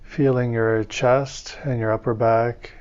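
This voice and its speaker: A man leading a guided meditation as a teacher, speaking in calm, soothing, measured phrases with pauses between them. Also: medium pitch, clear, resonant tone, lo-fi sound.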